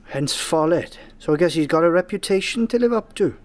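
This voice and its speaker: An actor playing a cheeky, sardonic police detective sidekick in a comedy radio play. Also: male voice, a little high, lively intonation, fairly quick, lightly acted. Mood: cheeky, curious.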